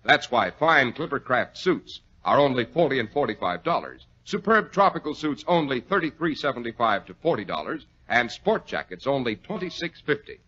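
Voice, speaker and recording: A dull old radio recording of an American man announcing in 1940s style. His delivery is forceful and very animated, with a slightly rough-edged tone and a polished, salesmanlike manner. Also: medium pitch, moderate pace, hardly any pauses.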